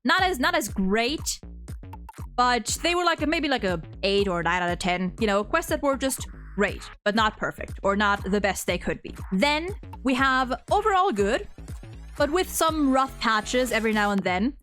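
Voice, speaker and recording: A woman with a fairly high voice hosts a loose, unscripted chat about video games. She rambles and thinks aloud, breaking her opinions into halting phrases. Faint music plays underneath. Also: big pitch swings, fairly bright tone. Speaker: host of a video game ranking video.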